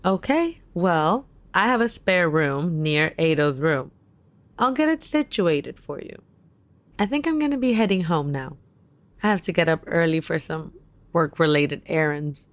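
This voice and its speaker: Supportive young best friend, slightly low voice, dry close sound, practical and reassuring. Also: female voice, expressive, unhurried, dry recording. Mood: casual, helpful. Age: young adult. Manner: reassuring, casual. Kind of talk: audio drama acting.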